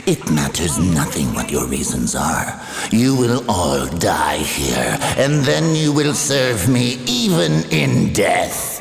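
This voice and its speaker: A man's voice: low-pitched, big pitch swings, takes it slowly, creaky, faint music behind, exaggerated voice. A man playing an arrogant, menacing vampire lord in a video game, imperious and theatrical in his delivery. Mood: menacing contempt. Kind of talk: dramatic video game voice acting. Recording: cavernous echo, long reverb.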